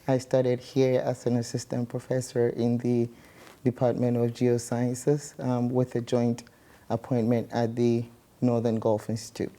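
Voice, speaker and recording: A man from Ghana, a guest scientist explaining his research in measured speech with many pauses. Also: medium pitch, completely flat delivery, warm tone.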